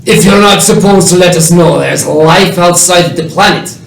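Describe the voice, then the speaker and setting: A woman's voice: fairly low pitch, normal speed, creaky. A woman playing a stern, indignant delegate in a comic radio play. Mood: reproachful. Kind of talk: radio play comedy acting. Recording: echoey, distant mic.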